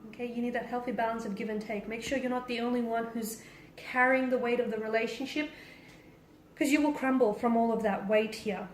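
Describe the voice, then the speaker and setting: A woman's voice: a little high, steady tone, fairly quick. A woman giving a conversational tarot reading straight to the viewer, stressing points by repeating and rephrasing them. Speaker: tarot card reader and host. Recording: roomy echo with faint background noise.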